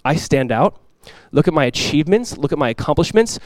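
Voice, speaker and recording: A man's voice: fairly high pitch, big pitch swings, brisk, dry recording. A pastor giving a conversational, earnest sermon, asking his listeners rhetorical questions. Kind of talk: expository sermon.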